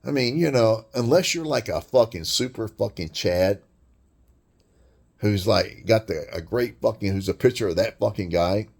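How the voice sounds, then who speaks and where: Gravelly deep-voiced man ranting. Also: animated, moderate pace, a little mellow. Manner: blunt and crude, heavy swearing, rambling restarts. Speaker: vlogger. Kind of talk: profane monologue rant.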